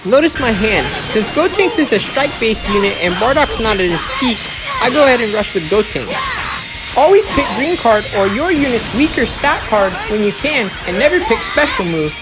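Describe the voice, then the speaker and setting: A woman's voice: a little high, sing-song, normal speed. A woman giving an instructional, step-by-step voice-over as the narrator of a gameplay guide. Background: music.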